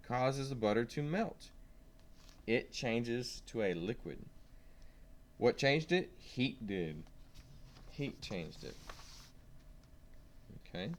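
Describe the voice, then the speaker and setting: A man reading a science lesson aloud to young children, stressing key words and asking simple questions as he goes. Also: medium pitch. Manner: simple questions and prompts to the listener.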